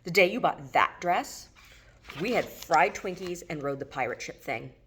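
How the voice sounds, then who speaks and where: A woman's voice: lowish voice, animated, normal speed, a bit bright, slight background noise. A woman reading the lines of a casual, bantering, mildly stubborn male friend in a novel. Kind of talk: acted dialogue in a novel reading.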